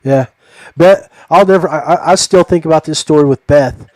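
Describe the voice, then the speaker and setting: A middle-aged American man hosting a casual podcast chat in a halting, folksy, familiar way. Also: fairly high pitch, darkish tone, dry recording. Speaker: podcast host.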